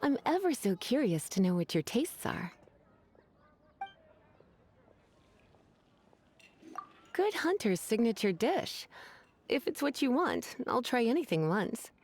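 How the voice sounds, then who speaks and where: Casting a coquettish, refined witch librarian for a game: highish, very expressive pitch, teasing delivery. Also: moderate pace, slight background noise. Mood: flirtatious, teasing. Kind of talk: video game voice acting.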